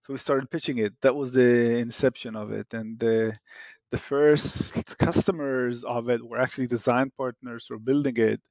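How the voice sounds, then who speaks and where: A man's voice: mid-range voice, fairly even intonation, moderate pace, darkish tone, dry recording. A man from Israel with an Israeli accent, a startup founder talking animatedly in an interview, restarting often with fillers. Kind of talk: casual interview talk.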